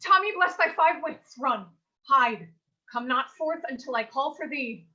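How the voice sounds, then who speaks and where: A woman's voice: sits high, lively intonation, a little slow, sharp tone, highs cut off, full character voice. A woman playing a frightened, brave young babysitter as a Shakespearean heroine in a declamatory, anguished staged reading. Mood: urgent. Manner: declamatory, anguished, archaic verse.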